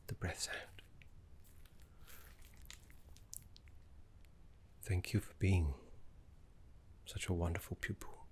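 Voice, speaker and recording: A man playing a gentle, scholarly necromancy teacher in an ASMR roleplay, speaking in a soft, breathy whisper with courteous, soothing, measured manners. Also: sits low, slight background noise. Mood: tender, regretful.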